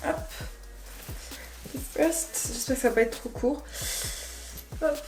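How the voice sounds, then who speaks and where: A woman's voice: sits high, slow, darkish tone, echoey room. A woman with a cold chatting casually as she unboxes and tries on clothes, rambling and correcting herself. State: has a cold. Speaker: vlogger. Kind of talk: casual try-on haul chat.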